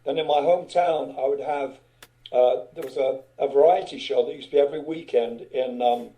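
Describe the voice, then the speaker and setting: Older male guest, loud, rambling anecdotes, deep dark timbre, echoey room. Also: brisk. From England. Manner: loud-voiced, rambling, many "you know" asides. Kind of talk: casual storytelling interview. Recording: roomy echo.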